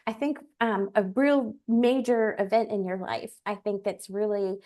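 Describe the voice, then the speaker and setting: A woman's voice: mid-range voice, fairly even intonation, measured pace, mellow. A woman interviewing on a video call, bubbly and gushing, laughing often. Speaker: emcee and interviewer. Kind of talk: casual interview.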